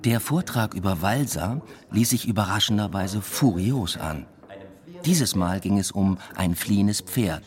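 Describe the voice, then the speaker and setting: An actor playing a weary, introspective academic who tells his own story in measured radio drama narration. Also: deep voice, a little mellow. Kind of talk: scripted first-person radio drama narration.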